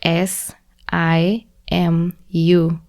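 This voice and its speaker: A Kenyan-accented woman with a somewhat low voice talks through her explanation in an easy, conversational way. She spells out examples slowly and peppers her sentences with "like". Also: flat delivery, darkish tone. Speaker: podcast guest. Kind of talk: casual podcast conversation.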